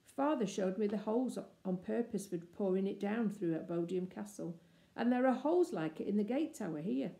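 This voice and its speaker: A woman's voice: medium pitch, lively intonation, normal speed, gravelly, warm tone. A woman voicing a practical, earnest schoolgirl in a storybook, explaining things. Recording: roomy, echoey indoor sound.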